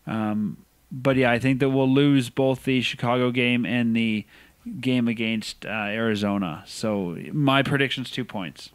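A man's voice: medium pitch, steady tone, unhurried, clean tone, dry recording. A man hosting a casual sports podcast, chatting in an upbeat way about hockey. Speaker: podcast host.

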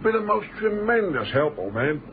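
A man's voice: sits high, big pitch swings, moderate pace. Glib British travelling salesman, tinny recording. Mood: glib, persuasive. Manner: glib, hearty, talkative, matey old-chap phrasing. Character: chatty, overfamiliar male commercial traveller, faintly sinister. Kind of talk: radio drama acting. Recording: thin band-limited old radio recording, noisy.

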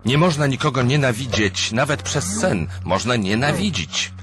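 A man's voice: medium pitch, lively intonation, moderate pace. A man reading scripted humorous narration in a didactic, wry manner, with music underneath.

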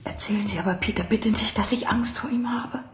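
An actress plays a fragile, frightened woman in a radio crime drama. She sounds anxious and pleading, at a middling pitch. The room rings a little around her voice. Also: a little fast, roomy sound, in a whisper, over loud music, close to an everyday voice. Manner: fearful, pleading. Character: anxious, fragile female psychiatric patient afraid of her husband. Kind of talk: German radio drama acting.

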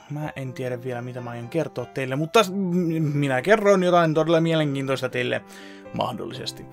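A man in his thirties casually walking through his fan-dub editing project from home, rambling and chuckling. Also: a little high. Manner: relaxed, rambling, chuckles often. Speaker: fan dub editor and vlogger. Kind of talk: casual behind-the-scenes walkthrough. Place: home room with computer setup.

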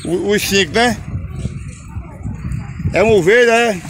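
A man, hosting his channel and chatting casually with sellers at an outdoor animal fair, friendly and quick to laugh at himself. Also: high-pitched, a bit bright, faint music behind. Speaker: channel host filming a livestock fair. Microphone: phone camera held by the speaker. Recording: phone video with outdoor street noise.

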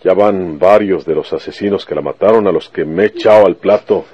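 An actor playing a stubborn, vengeful rural Mexican detective in a radio serial, with earnest, folksy country speech. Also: male voice, low-pitched, a little fast, dull audio, close to an everyday voice. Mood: sorrowful, earnest. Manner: folksy country speech full of rustic colloquialisms. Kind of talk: Spanish-language radio serial acting.

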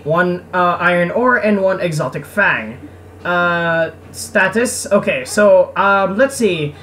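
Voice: medium pitch, slow pace, a bit bright, slight background noise. A gamer giving casual gameplay commentary in a sarcastic, foul-mouthed, grumbling way. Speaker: let's player. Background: game music.

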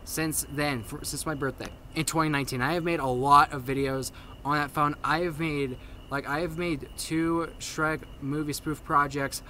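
A young man who stutters, giving a casual update to camera in a halting way, repeating and restarting his phrases. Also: fairly high pitch, steady tone, sharp tone, a little hiss or hum. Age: young adult. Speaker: video maker giving a channel update. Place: outdoors at night on a paved path.

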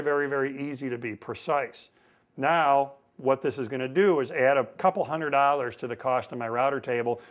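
Middle-aged American man teaching woodworking. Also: medium pitch. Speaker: woodworking instructor. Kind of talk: instructional demonstration to camera. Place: indoor woodworking shop. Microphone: lapel mic.

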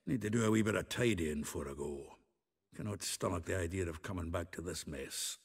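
A gruff, good-natured video game dwarf with a Scottish accent. The voice is low and thoroughly gravelly. He talks in a blunt, earthy, foul-mouthed way. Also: a little fast, heavily acted. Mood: brisk, businesslike. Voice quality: raspy, gravelly.

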